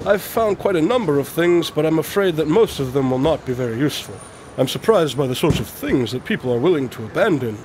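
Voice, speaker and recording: An actor playing an eccentric, inquisitive detective in a radio drama, articulate and dryly witty, with formal phrasing. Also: male voice, on the high side, lively intonation, fairly quick, darkish tone, a little hiss or hum, lightly acted, poor audio. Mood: brisk, pleased.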